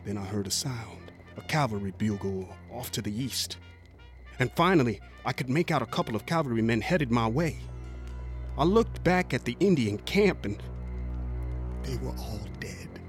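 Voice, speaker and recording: An actor playing a haunted, rough-spoken US Cavalry veteran, telling his story in a folksy, halting way in a radio drama. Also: mid-range voice, expressive, soft background music, natural voice. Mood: haunted, grieving. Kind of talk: dramatic first-person monologue, radio drama.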